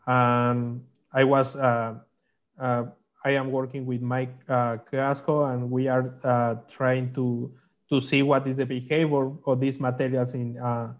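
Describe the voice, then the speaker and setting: A man explaining technical slides in halting, on a video call. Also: medium pitch. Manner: halting, non-native English phrasing. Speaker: guest, magnetics consultant. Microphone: video call microphone. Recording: dull lo-fi online call.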